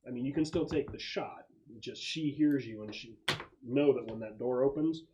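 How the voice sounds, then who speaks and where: A man's voice: medium pitch, normal speed, warm tone, lo-fi sound. Man running a casual tabletop game, faint noise. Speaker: game master.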